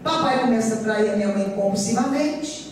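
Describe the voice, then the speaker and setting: A high-pitched woman in her forties preaches with a Goiás Brazilian accent. Her oratory is emphatic and repetitive, with rhetorical questions to the congregation. She is heard at a distance in a heavily echoing church. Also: brisk, a little mellow. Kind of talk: sermon with personal testimony. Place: church during a live service. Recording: echoey church hall, distant mic, faint noise.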